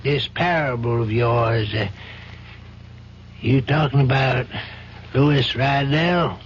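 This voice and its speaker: An actor playing an older, compassionate judge in an old-time radio drama, reasoning in a measured, probing, paternal manner. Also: male voice, medium pitch, lightly acted. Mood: earnest, admonishing. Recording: dull, faintly noisy old radio recording.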